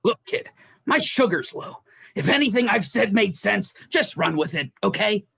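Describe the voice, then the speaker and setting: A man playing a blunt, fatherly talking teddy bear in a play reading, with a gravelly, husky voice. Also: sits high, big pitch swings, normal speed, fairly bright tone, full character voice. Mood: gruff, tired. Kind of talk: dramatized play script reading.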